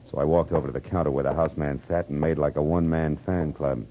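A man's voice: a bass voice, fairly even intonation, fast talker, mellow. A man playing a tough, wry American private detective in 1940s radio noir style, with clipped, slangy delivery. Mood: wry narrating. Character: hard-boiled male private detective, tough, wry, world-weary. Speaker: detective narrator and lead. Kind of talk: 1940s radio noir acting and first-person narration. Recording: noisy vintage radio recording.

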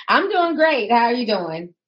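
A woman's voice: fairly high pitch, big pitch swings, takes it slowly, muffled recording, a loud crowd. A woman hosting a call-in show, greeting callers and prompting them with short replies. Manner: brief affirming responses. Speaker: talk show host.

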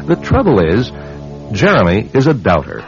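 Deep, portentous male radio announcer over music. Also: wildly swooping pitch. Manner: portentous, deliberate. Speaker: announcer and narrator. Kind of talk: dramatic scripted radio drama narration. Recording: dull lo-fi radio recording.

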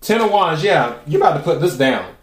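A man with a raspy voice hosting a podcast, giving a casual, chatty tarot reading and musing aloud with drawn-out pauses. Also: fairly high pitch, expressive. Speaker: tarot reader and podcast host. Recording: roomy echo.

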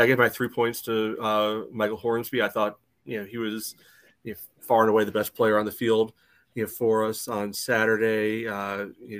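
A man's voice: on the low side, a bit bright. A man co-hosting a sports podcast in casual discussion, chatty and often dropping "you know" into his sentences.

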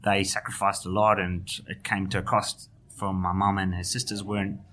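A journalist with a South African accent, a guest on a podcast, talking in a thoughtful way with many restarts and fillers. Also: male voice, low-pitched, level tone, moderate pace, bright, forceful tone. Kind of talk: podcast interview.

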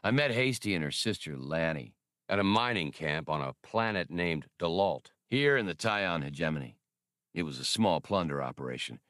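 A man voicing a portly, seasoned adventurer in an audiobook, steady and gruffly matter-of-fact. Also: deep voice, normal speed, no room sound, studio quality, voice pushed down. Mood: grim recounting.

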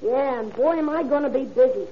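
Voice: high-pitched, lively intonation, brisk. An actor playing an eager young boy, polite to his mother, in a 1930s radio drama. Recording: lo-fi noisy 1930s radio recording.

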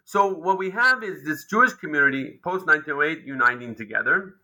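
Bright-toned male historian explaining. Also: fairly high pitch, lively intonation, brisk, rough recording. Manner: thoughtful, explanatory, with frequent ums and restarts. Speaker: historian and lecturer. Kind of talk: academic interview answer.

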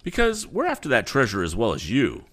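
A man's voice: mid-range voice, huge pitch swings, fairly quick, fairly bright tone, pitched above the performer's own voice. A man voicing an insolent, smug rival businessman in a storybook reading. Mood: bold. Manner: sneering, pushy.

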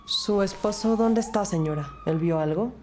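A woman acting a composed, sympathetic police detective and narrator in an audio crime drama, measured and formal. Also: medium pitch, a little mellow, a little hiss or hum, lightly acted. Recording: music bed behind the voice.

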